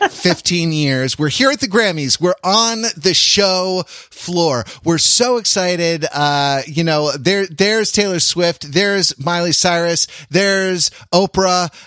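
A man's voice: on the high side, fairly bright tone, dry recording, clean studio sound. An American man hosting a podcast with casual comedic banter, rambling and playful as he goes. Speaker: podcast host.

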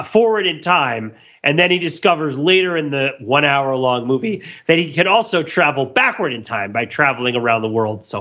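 Male podcast host, wordy explainer in casual discussion, bright forceful tone, slightly dull lo-fi audio. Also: fairly high pitch. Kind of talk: animated casual pop-culture discussion.

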